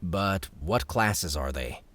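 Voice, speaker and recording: A male narrator voicing a scheming young man who plays a game villain, speaking in a calm, low, calculating way. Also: takes it slowly, no room sound, exaggerated voice. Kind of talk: audiobook character voice.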